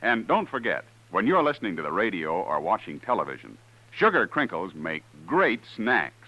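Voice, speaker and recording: A male American radio announcer with a low, bright, forceful voice. He pitches in lively 1950s commercial style, peppy and salesman-like. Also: normal speed, dull audio.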